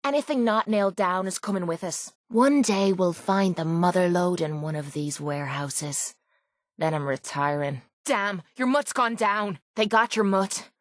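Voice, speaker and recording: A woman's voice: lowish voice, unhurried, dry recording, studio quality, close to an everyday voice. A woman voicing a tough, sarcastic young Irish mercenary companion in a video game, blunt, cocky and slangy.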